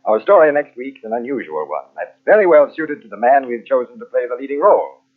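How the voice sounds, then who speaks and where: A man hosting a 1940s radio mystery show with formal scripted, measured, theatrical delivery. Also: medium pitch, big pitch swings. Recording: tinny old radio recording with music.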